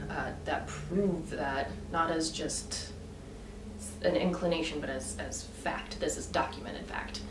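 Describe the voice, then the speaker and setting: A woman's voice: medium pitch, echoey room, distant mic, noisy background. A woman in her thirties talking earnestly and emphatically in a casual monologue, with many fillers. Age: 30s. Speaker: vlogger.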